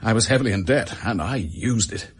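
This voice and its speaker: Husky, desperate Englishman confessing. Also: on the low side, lively intonation, brisk. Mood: bitter, desperate. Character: desperate, bitter male office worker confessing to murder. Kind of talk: radio drama acting.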